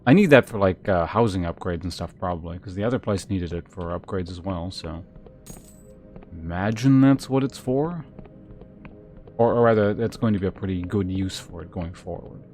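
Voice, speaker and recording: A man with a fairly low voice gives casual commentary as he plays a video game. He rambles and thinks aloud. Music plays clearly behind him. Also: moderate pace, a little mellow. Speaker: game streamer. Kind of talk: casual live gameplay commentary.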